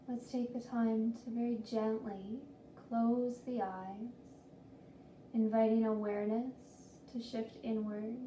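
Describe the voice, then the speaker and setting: A woman with a fairly high, even-toned voice leads a guided meditation. She is gentle and soothing, leaving long pauses between slow phrases. The recording is roomy and distant, with faint noise. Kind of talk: guided body scan meditation.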